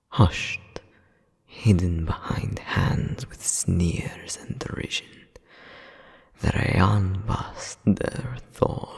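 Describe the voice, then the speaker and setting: A man playing a cold, menacing royal demon brother in an audio roleplay, haughty and deliberate, with a breathy, husky voice. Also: sits low, warm tone, exaggerated voice. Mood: cold contempt. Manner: haughty, slow, deliberate, veiled threats. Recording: long reverberant hall echo.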